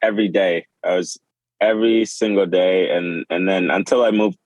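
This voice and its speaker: A man in his twenties, born in Sudan and raised in Kenya, speaking as a podcast guest in a halting, casual way with many fillers and restarts. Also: low-pitched, fairly even intonation, no echo, rough recording. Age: 20s. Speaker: podcast guest, clothing designer. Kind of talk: casual interview.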